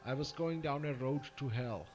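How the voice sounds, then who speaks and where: An actor with an Austrian accent playing a weary, sardonic ex-cop turned private eye in a game intro, with music behind. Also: male voice, mid-range voice, moderate pace, natural voice. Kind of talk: hard-boiled game intro narration.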